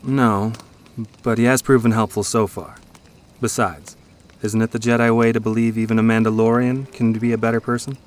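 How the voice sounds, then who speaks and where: A young male hero of medium pitch played by an actor, part scoundrel and part Jedi trainee. He speaks with reassuring confidence in an audio drama scene. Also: little pitch movement, moderate pace, close to an everyday voice.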